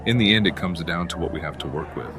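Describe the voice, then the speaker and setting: A man's voice: deep voice, lively intonation, fast, crowd noise. A man playing an easygoing, practical, friendly man with a casual manner in an audio drama. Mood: matter-of-fact. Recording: music playing behind the voice.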